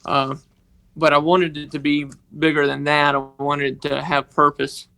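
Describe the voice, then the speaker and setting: A man with a Mississippi accent, telling a personal story on a podcast in a halting, earnest way. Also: a little high, level tone, gravelly, sharp tone, clean tone. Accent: Southern US, Mississippi. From northeast Mississippi, United States. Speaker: podcast guest, organization member telling his story.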